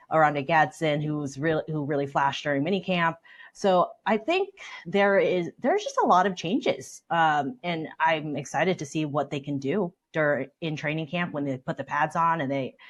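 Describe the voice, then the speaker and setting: Deep-voiced woman talking sports. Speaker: sportswriter, panel guest. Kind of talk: casual sports analysis discussion.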